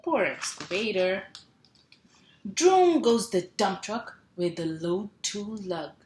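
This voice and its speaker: A woman reading a picture book aloud to young children in a sing-song, animated way, stretching sound words and asking simple questions. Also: medium pitch, slow pace. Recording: roomy echo, clear background noise.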